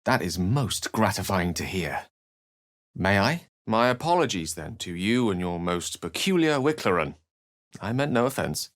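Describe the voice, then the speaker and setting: An actor playing an eloquent, wry and melancholy wizard in a video game, with articulate, theatrical phrasing. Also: male voice, deep voice, sing-song, measured pace, a bit bright, no room sound, studio quality, heavily acted. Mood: courteous, wry. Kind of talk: video game character dialogue and narration.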